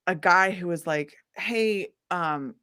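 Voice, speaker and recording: A woman with a slightly low voice tells stories casually as an interview guest. She is animated and informal, often slipping into quoted dialogue as she goes. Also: slow, smooth. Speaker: singer-songwriter interview guest. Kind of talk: casual chatty interview storytelling.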